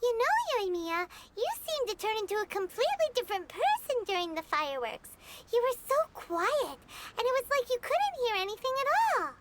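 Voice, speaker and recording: A woman voicing a small, chirpy female fairy-like travel companion in a video game, bubbly and excitable, talking about herself by name. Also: very high voice, normal speed, hardly any pauses, heavy background noise. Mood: thoughtful. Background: game music.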